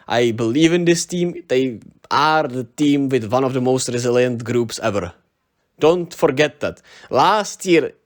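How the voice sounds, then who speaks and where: A man from the Czech Republic with a Czech accent, hosting his own channel with casual solo basketball commentary, chatty, with lots of fillers and tag questions. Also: medium pitch. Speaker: sports channel host.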